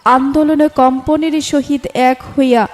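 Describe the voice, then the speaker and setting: A woman's voice: high-pitched, steady tone, a little slow, darkish tone. A woman reading a literary story as a scripted narration. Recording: music bed behind the voice. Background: background music.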